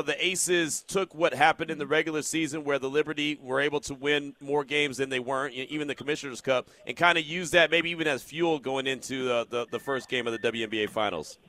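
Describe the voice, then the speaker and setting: A man hosting a sports radio interview, relaxed, affirming and laughing along with his guest. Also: medium pitch. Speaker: radio host.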